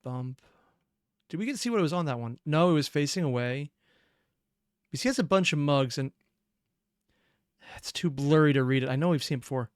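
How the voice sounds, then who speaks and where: A reaction video host giving casual commentary in a halting, emotionally invested way. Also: mid-range voice, lively intonation, dry recording, clean studio sound.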